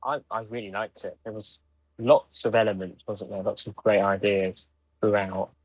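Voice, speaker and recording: Male podcast co-host, lower-pitched, dry recording, conversational and stop-start. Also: fairly even intonation, normal speed, no room sound, muffled recording. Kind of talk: casual discussion.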